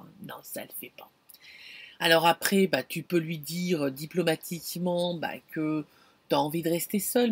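A middle-aged woman vlogging from inside a camper van, telling an anecdote in an animated, expressive way and talking straight to the viewer. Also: on the low side, husky, no echo. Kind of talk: casual storytelling to camera. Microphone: camera close in front.

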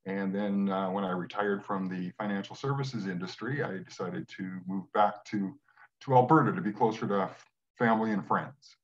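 60s guy from western canada, lowish voice, muffled webcam sound, lots of uh. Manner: measured, many uh fillers. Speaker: guest. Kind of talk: casual video call conversation. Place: home room. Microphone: video call. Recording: dull lo-fi webcam audio.

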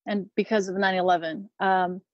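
A woman's voice: mid-range voice, little pitch movement, moderate pace, no echo, highs cut off. A woman in her thirties from Peru, a Marine veteran guest talking casually with lots of hesitant ums and restarts. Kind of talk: casual interview conversation.

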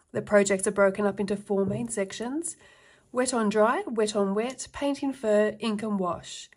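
A woman talks through an art workbook as she turns its pages, reading the printed text aloud in an even way and then adding her own tips. Her delivery is relaxed and conversational, with the odd um along the way. Her voice sits at a middle pitch. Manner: reads passages aloud, relaxed with fillers. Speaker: art book reviewer. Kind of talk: casual flip-through narration.

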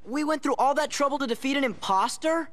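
A man's voice: very high-pitched, brisk, fairly bright tone. A young man voicing a spirited, impatient teenage boy hero in a video game cutscene. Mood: confused.

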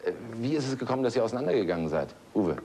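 A middle-aged man hosting a TV show in a large studio, presenting in a brisk, chatty way with rhetorical asides. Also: medium pitch, big pitch swings, warm tone, a little hiss or hum. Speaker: TV show host. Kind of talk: lively presenting.

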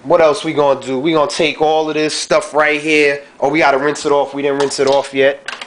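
A young man in a dim home kitchen talking through his cooking in a relaxed, slangy way, recorded on a handheld camera mic. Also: fairly high pitch, fairly quick, a little hiss or hum. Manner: laid-back slangy chatter, frequent "you know what I'm saying". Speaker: home cook vlogger. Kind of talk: casual cooking vlog. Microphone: handheld camera mic held close.

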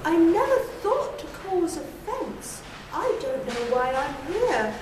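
Woman acting a naughty young storybook cherry, melodramatic, pleading, high voice, distant noisy recording. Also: sing-song, slow pace, full character voice. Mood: frightened pleading. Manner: mock-pitiful, exaggerated. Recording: distant mic, echoey room, noisy.

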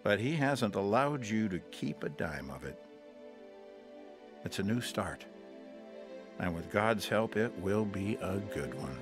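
An actor playing a patient mission counselor in a radio drama, talking calmly and earnestly and quoting scripture. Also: male voice, lowish voice, unhurried, long pauses, music in the background. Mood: gentle. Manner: calm, earnest, quotes scripture.

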